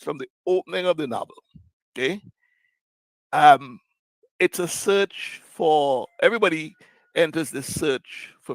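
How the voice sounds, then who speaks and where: A man in a book discussion, speaking reflectively and haltingly as he searches for the right words. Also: on the high side, gravelly, a bit bright, no room sound, low-quality recording. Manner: hesitant, searching for words, long pauses. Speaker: book club panellist.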